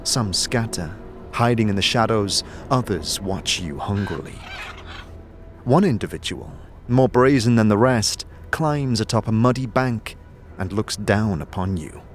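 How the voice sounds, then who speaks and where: A man's voice: lowish voice, expressive, unhurried. A man narrating a fantasy audio drama in the second person, with dramatic, descriptive storytelling and music behind him. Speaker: narrator and game master.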